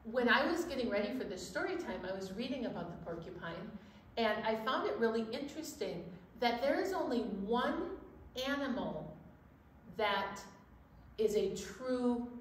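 A woman's voice: fairly high pitch, moderate pace. A middle-aged woman hosting a children's storytime and chatting in a library. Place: indoor storytime set at a library. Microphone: distant camera microphone. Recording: roomy, distant mic, steady background noise.

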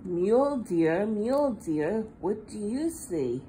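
Slow, sing-song woman reading a picture book. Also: mid-range voice, very animated, mellow, low-quality recording. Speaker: children's storybook reader. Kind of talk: read-aloud picture book for young children. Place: indoors at a table. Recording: faint background room noise.

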